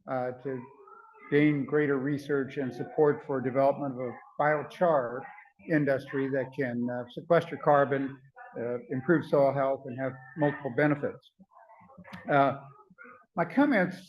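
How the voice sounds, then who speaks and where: Soft-spoken older man giving a talk. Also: fairly high pitch, highs cut off, a little hiss or hum. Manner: earnest, measured, frequent ums and uhs. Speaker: conference speaker. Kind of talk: prepared talk at a symposium.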